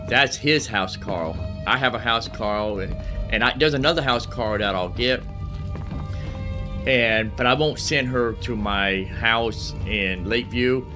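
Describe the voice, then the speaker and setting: A man giving casual video game playthrough commentary, with hesitant, drawn-out phrasing. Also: medium pitch. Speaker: gaming video host and player. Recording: game music under the commentary.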